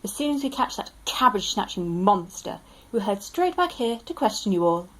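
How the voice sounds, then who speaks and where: A woman's voice: medium pitch, very animated, moderate pace, fairly bright tone, poor audio. A woman playing an officious, politely apologetic male police sergeant in an amateur radio drama.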